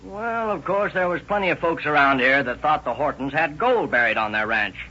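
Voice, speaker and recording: A rambling folksy country neighbor. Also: male voice, fairly high pitch, expressive, moderate pace, pushed and bright, breathy edge, full character voice. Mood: gossipy, helpful. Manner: folksy, hesitant, conversational. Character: rambling, gossipy male country neighbor witness. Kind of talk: 1930s radio drama acting. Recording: muffled vintage radio recording.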